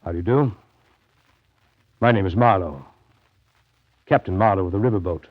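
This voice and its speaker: An actor playing a riverboat captain recounting a grim journey in brooding, deliberate 1930s radio drama narration. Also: male voice, low voice. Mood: questioning. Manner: brooding, deliberate, literary storytelling. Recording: dull, lo-fi 1930s radio recording.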